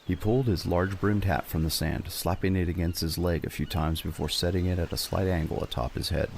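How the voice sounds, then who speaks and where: A man's voice: low-pitched, steady tone, brisk, creaky, slight background noise. A man narrating a dramatic scripted adventure story as a storyteller.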